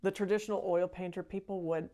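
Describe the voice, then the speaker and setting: A woman's voice: on the low side, steady tone, fast talker, mellow. A middle-aged woman painter hosting a casual live stream, talking in a chatty, rambling way. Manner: chatty, rambling, with laughs and fillers. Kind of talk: casual painting demo chat.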